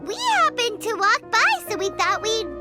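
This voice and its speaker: An extremely high, squeaky little fairy, perky and chatty. Her game dialogue bounces up and down in a childlike, exclamatory way, with loud music playing behind. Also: expressive, moderate pace. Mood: perky, helpful. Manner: squeaky, exclamatory, refers to self in third person. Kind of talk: scripted video game character dialogue.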